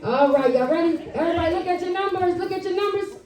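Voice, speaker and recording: Echoey, mellow-voiced woman addressing an audience. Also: very high-pitched, fast talker. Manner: encouraging, addresses the audience directly. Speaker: children's author and school teacher. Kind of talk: live author talk to children and families. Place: library event room, speaking to a live audience. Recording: roomy, echoey live event, distant mic.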